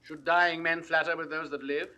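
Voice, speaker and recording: Haughty king, high, piercingly bright. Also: level tone, normal speed, dull audio. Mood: cool scorn. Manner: imperious, crisp declamation. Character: haughty, petulant, callous king. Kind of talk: classical Shakespearean verse acting, 1960s audio drama.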